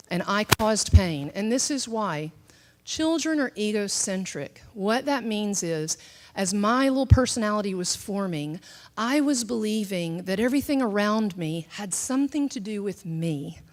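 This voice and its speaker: A middle-aged woman speaks at a church teaching session, talking through brain science and her own story. Her delivery is conversational and loose, full of fillers and restarts, and she acts out the thoughts she quotes. Her voice sits at a middle pitch for a woman. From southern United States. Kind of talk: teaching talk mixed with personal testimony.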